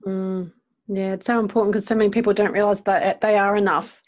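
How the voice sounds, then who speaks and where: A woman's voice: medium pitch, flat delivery, a little fast. A woman hosting a casual interview in an encouraging, affirming way.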